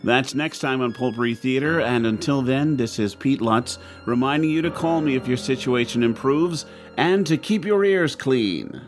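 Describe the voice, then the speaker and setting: A man's voice: medium pitch, animated, normal speed, faint music behind. A man hosting an audio drama show, reading closing credits in a chatty, informal way. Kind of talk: podcast outro with credits, lightly scripted.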